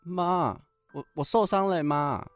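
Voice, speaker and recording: A woman's voice: on the low side, slow, no room sound, faint music behind. A woman in an amateur radio drama playing a hurt, neglected child who pleads emotionally for a mother's affection. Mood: pleading.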